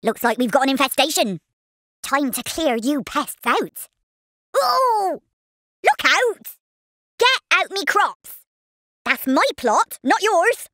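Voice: high voice, sing-song, takes it slowly, pauses often, pushed and bright, no room sound, studio quality, heavily acted. A performer voicing a cheeky, easily riled cartoon farmer worm for a video game, with a rustic English West Country accent and exclamatory delivery. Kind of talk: exaggerated video game voice barks.

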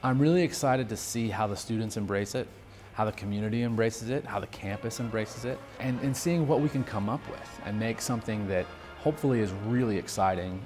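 A man on a music school's staff answering interview questions in informal, halting phrasing. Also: fairly low pitch. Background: faint music.

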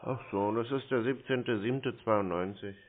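Heard through a narrow, tinny phone connection, this man has a medium-pitched voice. He talks casually as a customer, hesitating and asking things in a naive way. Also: a little slow, noisy background. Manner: hesitant, naive-sounding, questioning. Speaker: prank caller posing as a customer. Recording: tinny band-limited phone line.

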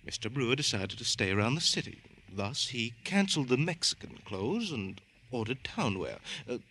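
An actor playing a fussy, nervous, snobbish clothing-store clerk in a radio drama, prissy, hesitant and over-refined. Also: male voice, mid-range voice, sing-song, normal speed, bright, forceful tone. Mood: chatty, snobbish. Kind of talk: old-time radio crime drama acting. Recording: hissy old-time radio recording.

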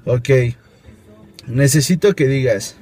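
A young adult man with a Mexican accent speaks in a mellow, unforced voice. His delivery is hesitant and improvised, as in a casual phone conversation. He is recorded inside a car, with faint music behind him. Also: mid-range voice, expressive. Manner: halting, hesitant explaining. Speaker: prank caller, video host. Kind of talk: improvised prank phone call and casual chat. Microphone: camera at arm's length.

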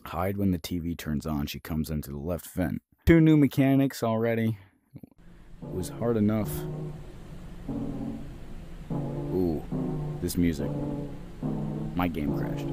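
A man's voice: low voice, big pitch swings, slow pace, long pauses, music in the background. A man casually commentating as he plays a horror game, swearing often and reacting with sudden jumps. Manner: swears often, jumpy reactions, explains mechanics aloud. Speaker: gaming youtuber. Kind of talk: casual let's-play commentary.

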